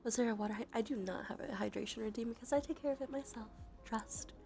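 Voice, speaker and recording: A sniffly woman with a sore throat chatting softly on a cozy ASMR livestream, often dropping into whispers. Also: on the high side, fast talker, gravelly, soft background music.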